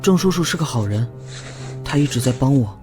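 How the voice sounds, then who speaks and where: A man playing a troubled teenage boy full of self-loathing, in an animated drama. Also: medium pitch, brisk, close to an everyday voice. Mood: sad, confessing. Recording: loud background music under the voice.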